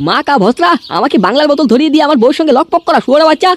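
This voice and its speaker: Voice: high voice, animated, racing, heavy background noise. An actor voicing a drunken, gullible, foul-mouthed village husband in a comedy cartoon, with coarse, slurred speech. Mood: furious. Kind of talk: amateur comedy cartoon dub. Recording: music behind the voice.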